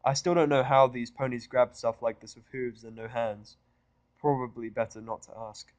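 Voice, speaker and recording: A man's voice: mid-range voice, steady tone, normal speed, dry recording. A man narrating first-person fiction with a sardonic, irritable delivery. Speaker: audiobook narrator.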